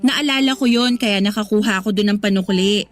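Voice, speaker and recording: A woman's voice: medium pitch, brisk, close to an everyday voice. A woman playing a young cashier in a Tagalog radio drama, deferential and hesitant in manner. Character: young female cashier, eager, polite and nervous. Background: music.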